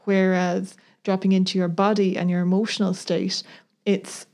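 A woman's voice: medium pitch, level tone, normal speed, a little mellow. A woman co-hosting a talk podcast, thoughtful and earnest, searching for words as she reflects. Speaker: podcast co-host. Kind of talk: reflective conversational discussion.

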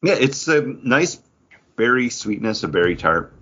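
A man's voice: medium pitch, big pitch swings, unhurried, a bit bright, slightly rough, lo-fi sound. A man chatting casually as a playful, joking co-host of a pop culture podcast. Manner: playful, jokey.

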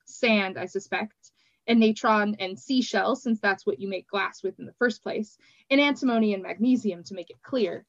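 A woman's voice: mid-range voice, moderate pace, dry recording, muffled recording, natural voice. A scolding teenage mage girl, as a woman reader puts her on. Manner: scolding and blunt. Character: irritable, sharp-tongued teenage girl mage.

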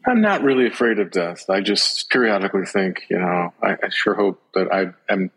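A middle-aged man with a low, husky voice speaks as an interview guest. He is earnest and reflective, often pausing and repeating words as he finds his thought. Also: lively intonation, a bit bright, low-quality recording. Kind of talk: reflective personal conversation.

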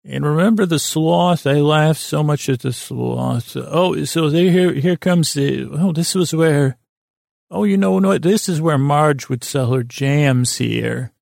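A man's voice: a little high, takes it slowly, vocal fry, darkish tone, no echo, clean studio sound. A man voicing a nostalgic grandfatherly man who rambles, forgets and gets sidetracked on a leisurely drive, as part of a bedtime monologue. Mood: nostalgic, rambling. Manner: wandering, forgetful, easily sidetracked.

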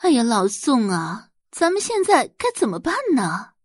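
Spiteful society mother acted by a woman: haughty, sneering, swooping indignant delivery with a husky edge, audio drama. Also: fairly high pitch, sing-song, measured pace, no room sound, studio quality. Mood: fretful. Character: haughty, spiteful middle-aged rich wife and mother.

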